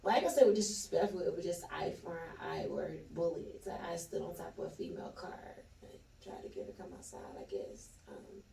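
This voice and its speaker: A woman's voice: fairly low pitch, quick pace, gravelly, hardly any pauses, dark tone, slight background noise. A woman from Florida, a comedian chatting loosely as an interview guest and telling stories in slang. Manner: loose, laughing storyteller, Southern slang. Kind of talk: casual interview chat. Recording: roomy, distant from the mic.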